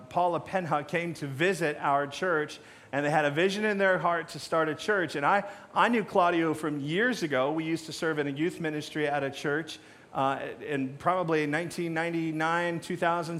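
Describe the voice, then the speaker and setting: A middle-aged American man with a slightly high voice addresses his church as its pastor. He talks informally and conversationally. He leans on anecdotes and small jokes. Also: fairly even intonation, moderate pace, a bit bright. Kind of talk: informal sermon talk to congregation. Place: church stage.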